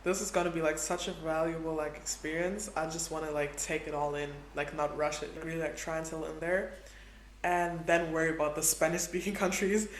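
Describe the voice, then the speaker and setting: Chatty young man, high voice. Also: level tone, brisk, a little hiss or hum. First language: Portuguese is his second language. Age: 20s. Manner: chatty, heavy use of "like". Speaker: travel vlogger. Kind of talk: casual travel vlog. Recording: echoey room with faint ambience.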